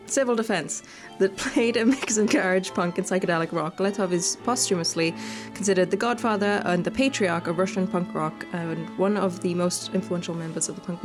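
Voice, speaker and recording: A woman doing casual, playful gaming commentary and reading game text aloud, chatty and informal. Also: mid-range voice. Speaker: gaming video host. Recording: music playing behind the voice. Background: background music.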